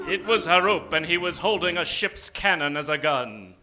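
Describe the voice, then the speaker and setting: A man's voice: on the high side, expressive, moderate pace, background noise. A man narrating and announcing an improvised old-time radio comedy in a theatrical, dramatic way.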